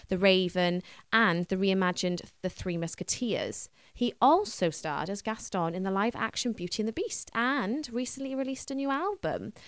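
A woman's voice: medium pitch, clean tone, no room sound. Chatty Welsh woman radio host. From Wales. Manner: chatty, with filler words and restarts. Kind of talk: casual radio presenting.

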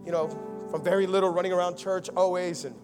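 Young man, high voice, pastor, fervent sermon, music underneath. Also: flat delivery, fast. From United States (Miami). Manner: rousing preaching, swinging from loud and fervent to quiet and intimate. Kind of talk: Christmas sermon.

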